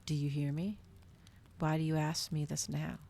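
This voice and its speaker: A woman's voice: sits low, flat delivery, normal speed, gravelly, dark tone. A woman voicing a domineering, cruel male vampire in a storybook reading, taunting and theatrical. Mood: insistent.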